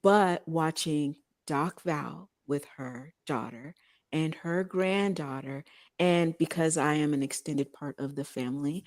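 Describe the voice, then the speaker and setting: A woman with a fairly low voice and a Texas accent co-hosts a casual talk show. She talks informally and leans on key words with deliberate emphasis. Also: a little mellow, no room sound, studio quality. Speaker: radio show co-host. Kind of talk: casual chat with promotional read-outs.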